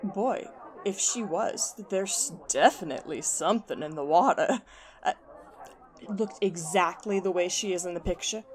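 A woman's voice: fairly low pitch, a little slow, close to an everyday voice. A woman playing a flustered, brassy opera house director in a noir radio drama. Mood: brassy, amused.

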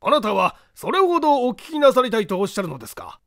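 A man voicing a courteous castle owner in an audiobook, explaining old secrets in formal, measured storytelling. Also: very high voice, sing-song, fairly bright tone, dry recording, studio quality, voice pushed up, exaggerated voice. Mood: courteous, explanatory.

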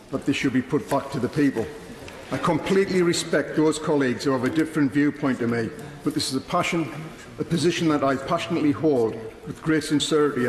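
A middle-aged man, a member of parliament, reading a prepared speech in a large debating chamber, earnest and measured. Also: mid-range voice. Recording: echoey chamber with long reverb.

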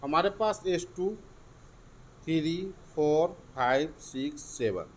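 A middle-aged man, the owner of a car showroom, giving a formal and boastful sales pitch in an office. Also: animated, takes it slowly, poor audio. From Bihar, India. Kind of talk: interview answers. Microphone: lapel mic.

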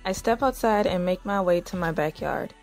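A woman's voice: fairly low pitch, normal speed, a little mellow, faint music behind, close to an everyday voice. A woman playing a conflicted, defensive young married woman who also narrates her story in an audio drama. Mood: reflective. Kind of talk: audio drama acting with first-person narration.

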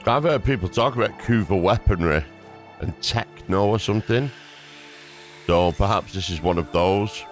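A man's voice: lowish voice, normal speed. A man hosting a gaming video, giving casual, laid-back and appreciative playthrough commentary into a close mic. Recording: close dry mic, game music behind.

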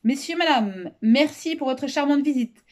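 A woman's voice: sits high, animated, moderate pace, a little mellow, voice pushed up. Casting: woman plays doting storybook mother, theatrical, effusive, drawing out her words. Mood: mock-sweet. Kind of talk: storybook character voice.

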